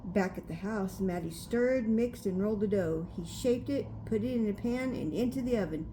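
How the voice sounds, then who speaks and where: A woman's voice: mid-range voice, moderate pace, dark tone, a little hiss or hum, rough recording. An older woman, a grandmother reading a storybook aloud to a child in a homely, chatty way. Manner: homely, chatty, pointing things out.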